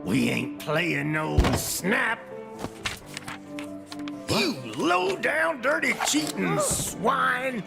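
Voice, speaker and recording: A man's voice: extremely high pitch, sing-song, full character voice. A man playing a furious Old West outlaw in a cartoonish video game, snarling his words. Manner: drawn-out, creaky snarl. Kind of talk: cartoonish Western game acting. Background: music.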